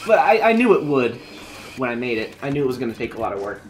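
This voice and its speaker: A man live-streaming a video game, chatting casually with viewers while he plays, his voice going hoarse from a long session. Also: medium pitch, very animated, quick pace. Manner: chatty, thinking aloud while playing. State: losing his voice.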